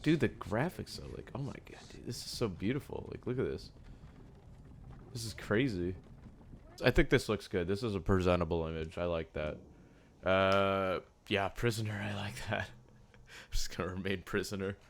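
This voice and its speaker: A young man with a raspy, sore-sounding voice, casually commenting on his gameplay, often breaking into excited yelps between low mumbles. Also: moderate pace, a little hiss or hum. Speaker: gaming YouTuber.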